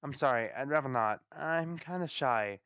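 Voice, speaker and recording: A man plays a shy ghost girl from a story, confused and sorrowful. The delivery is timid and apologetic, with a smooth tone and very changeable pitch. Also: expressive, moderate pace, fairly bright tone, no room sound, highs cut off. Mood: timid, lost. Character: shy, bewildered, weeping spirit of a dead girl. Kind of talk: dramatic read-aloud of a story.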